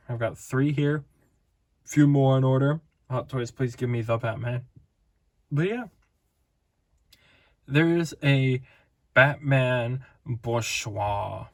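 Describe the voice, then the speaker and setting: Rambling tired man reviewing toys. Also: mid-range voice. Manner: rambling, playful, self-interrupting. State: tired, voice fading. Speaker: toy collector reviewer. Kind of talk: casual toy review.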